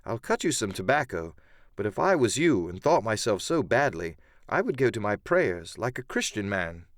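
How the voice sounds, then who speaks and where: A man's voice: lowish voice, big pitch swings, moderate pace, no room sound, studio quality. A man voicing a bold, earnest young sailor boy with an indignant, pious manner, in a dramatised audiobook.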